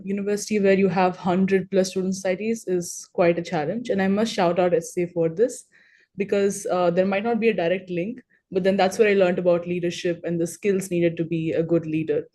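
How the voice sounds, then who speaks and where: A woman's voice: mid-range voice, dark tone. A young woman from Hyderabad whose first language is Telugu, talking with chatty confidence as a panelist on a video call. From Hyderabad, India. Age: 20s. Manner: chatty and confident, rambling with fillers. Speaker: alumni panelist. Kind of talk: conversational talk on a video call.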